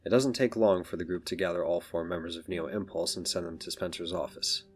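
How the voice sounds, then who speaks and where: A man's voice: low-pitched, steady tone, fairly quick. A man narrating a scripted audio drama in the third person. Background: background music.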